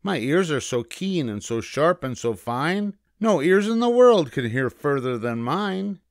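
A man's voice: a little high, big pitch swings, a little slow, dry recording, clean studio sound, pitched above the performer's own voice. A man voicing a boastful, cocky rabbit in a storybook, with a snappy, bragging manner.

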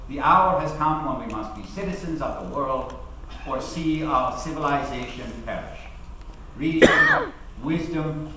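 A man's voice: fairly high pitch, a bit bright. Echoey, theatrical orator played by a man. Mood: fervent, defiant. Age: middle-aged. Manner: oratorical, deliberate, with dramatic pauses. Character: ageing labour activist, principled, defiant, reminiscing orator. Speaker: actor giving a dramatic reading. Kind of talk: theatrical reading of a public speech. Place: lectern on stage in a large hall. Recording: echoey hall, distant mic, faint noise.

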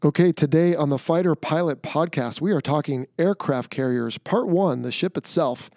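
A man's voice: a little high, moderate pace, darkish tone, no room sound, studio quality. A middle-aged man hosting a podcast, a retired Navy pilot, chatting in a friendly, upbeat way with his guest. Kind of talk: casual interview hosting.

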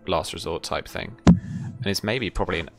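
Very deep, creaky game master. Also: male voice, normal speed. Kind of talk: running a tabletop roleplaying game. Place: indoor room at home, video call. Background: background music.

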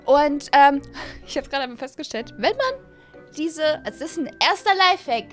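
High-pitched young woman gaming host. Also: moderate pace. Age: 20s. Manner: excitable, gushing, peppered with English words. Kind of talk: casual let's-play commentary addressed to viewers. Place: small home gaming room, indoors. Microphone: close desk microphone on an arm, with headset. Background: background music.

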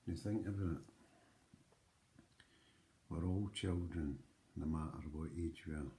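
A Scottish man in his forties speaks straight into a close phone or webcam in a small room, unhurried and earnest. His voice sits extremely low and dark, and he leans on each point with "right?". A faint hum of room noise sits underneath. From Scotland. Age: 40s. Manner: slow, emphatic, with frequent "right?" asides. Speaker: channel host. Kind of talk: earnest motivational talk to camera.

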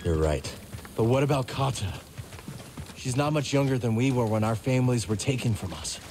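An actor playing an earnest, troubled young warrior in a video game, speaking in a subdued, serious way. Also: male voice, medium pitch, animated, moderate pace, background noise, natural voice. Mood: somber, earnest. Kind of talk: cinematic video game acting. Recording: music behind the voice.

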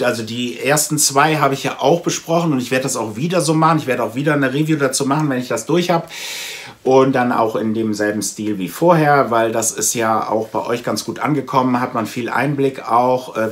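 A man, talking casually and conversationally to camera as a video host, explaining as he goes. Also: mid-range voice. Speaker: comic blog video host. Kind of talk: casual haul vlog talk to camera. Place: small indoor room with shelves of comics.

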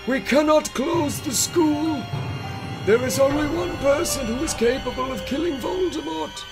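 A man voicing a grave, angry old wizard headmaster with slow, emphatic speech in a comedic fan fiction reading. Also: very high voice, creaky, music in the background, pitched above the performer's own voice, heavily acted. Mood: grave indignation.

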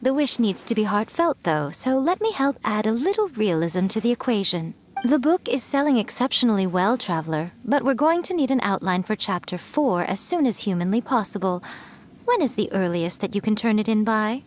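A woman voicing a sly, playful female fox priestess who teases in a smooth, poised manner. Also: medium pitch, sing-song, moderate pace, a little hiss or hum, close to an everyday voice.

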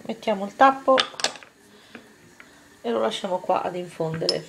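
Cooking vlogger, woman, friendly step-by-step recipe chat, average pitch. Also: lively intonation, a little slow, gravelly, noisy background. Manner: chatty, step-by-step instructions to viewers. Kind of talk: casual cooking demonstration. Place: home kitchen table, indoors.

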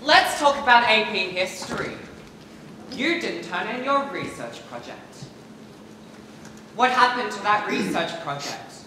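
Young man playing an exasperated teacher, bright and echoey. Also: high voice, very animated, a little slow, pauses often, full character voice. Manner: exasperated, earnest. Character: disappointed but kindly high school teacher. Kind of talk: school stage play acting. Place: theatre stage, filmed from the audience. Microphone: far camera. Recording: echoey theatre hall, faint noise.

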